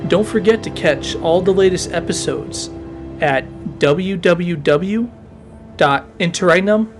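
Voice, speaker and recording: A man announcing an audio drama in a scripted, theatrical and measured style. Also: high voice, over music. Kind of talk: scripted episode outro and credits.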